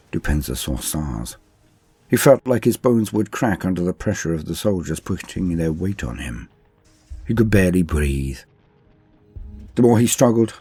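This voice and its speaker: A man giving a grave, theatrical reading of a dramatic story in deliberate phrases. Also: low-pitched, mellow, husky, dry recording. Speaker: narrator.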